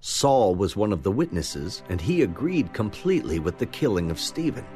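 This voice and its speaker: A man with a low voice narrates scripture dramatically, his pitch moving widely as the action builds. His delivery is expressive and vivid, set over a clear music score.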